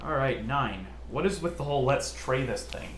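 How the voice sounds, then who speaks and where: Easygoing deep-voiced man in a roomy echo. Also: lively intonation, normal speed. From California, USA. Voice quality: generally deep voice. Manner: hesitant, many uh and um fillers, easygoing. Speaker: channel host. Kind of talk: casual Q&A chat. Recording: roomy echo, faint noise.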